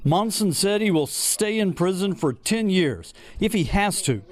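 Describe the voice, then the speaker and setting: An American man narrating a scripted TV news report as an investigative reporter, in a measured, deliberate broadcast delivery. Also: high voice, expressive.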